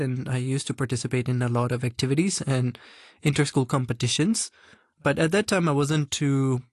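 A young man from Hong Kong, a guest answering interview questions in an earnest, measured way. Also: medium pitch, dry recording, clean studio sound. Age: young adult.

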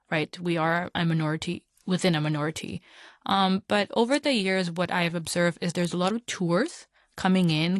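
A young Hong Kong woman as a guest on a studio talk show, reflecting conversationally in a slightly low voice. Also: dry recording. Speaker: interview guest. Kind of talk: conversational, reflective personal account.

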